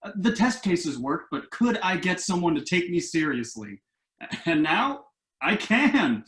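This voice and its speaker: A man acting in a play reading as an earnest, slightly flustered hopeful entrepreneur, speaking haltingly. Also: high voice, big pitch swings, a bit bright, heavily acted. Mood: relieved, grateful. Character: earnest adult son and hopeful entrepreneur, husband, somewhat flustered. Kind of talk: virtual stage play reading. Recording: roomy, echoing home recording.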